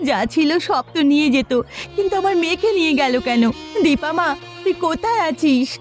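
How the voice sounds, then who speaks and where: Woman voicing an anguished, high-voiced village mother. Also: lively intonation, brisk. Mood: anguished, pleading. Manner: pleading, urgent. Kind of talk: melodramatic cartoon voice acting. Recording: music behind the voice.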